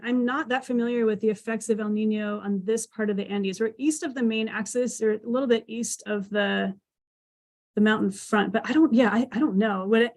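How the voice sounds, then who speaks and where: A woman scientist answering audience questions over a video call, thoughtful and hedging with restarts. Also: on the high side. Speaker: speaker.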